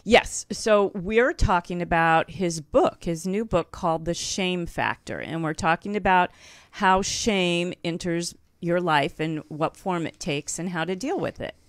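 A woman's voice: lowish voice, measured pace, dry recording. A middle-aged woman hosting a call-in show in a conversational style, close on a studio microphone. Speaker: radio show host.

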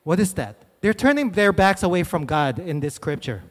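High-voiced preacher in a hall. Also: male voice, sing-song, normal speed. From Philippines. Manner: conversational, explaining scripture with asides. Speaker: pastor. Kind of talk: sermon teaching. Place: large church stage. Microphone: handheld mic. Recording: reverberant hall.

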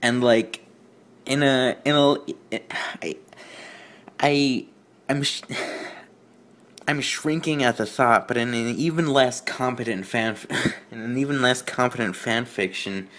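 A man hosting a fan fiction reading with casual, mocking commentary, halting and repeating himself in a sardonic way. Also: mid-range voice, fairly bright tone.

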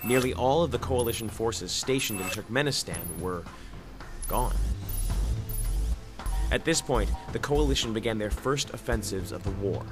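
A man's voice: lowish voice, animated, normal speed, lightly acted. A man voicing a war-weary journalist who narrates a war game's story in a grave tone, over music. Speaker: game narrator and soldier. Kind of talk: game cutscene voice acting. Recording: game audio with music behind.

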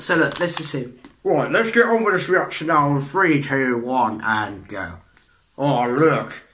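A young man, chatting in a rambling way for a reaction vlog in his bedroom, restarting sentences, clearing his throat and swearing often. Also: fairly high pitch, pushed and bright, slight background noise. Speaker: vlogger reacting to videos. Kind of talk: casual reaction vlog chat. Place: small bedroom indoors.

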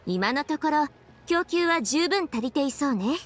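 Young female game official: high register, poised, earnest, explanatory delivery. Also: lively intonation, moderate pace. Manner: composed, articulate, explanatory. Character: poised, thoughtful young woman official devoted to tradition. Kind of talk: anime video game voice acting.

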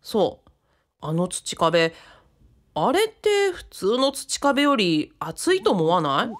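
A woman playing a polite, earnest and easily flustered young man in an audio drama, in an eager, expressive way. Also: mid-range voice, takes it slowly. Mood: thoughtful. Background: background music.

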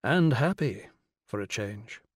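A man's voice: on the low side, animated, slow pace, slightly rough, no room sound, exaggerated voice. Man playing a dry, sceptical male visitor. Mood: observant.

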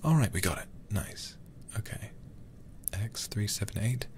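A man livestreaming a horror game in ASMR style, murmuring softly and often whispering, close to the microphone. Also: fairly low pitch, expressive, measured pace, a little hiss or hum.

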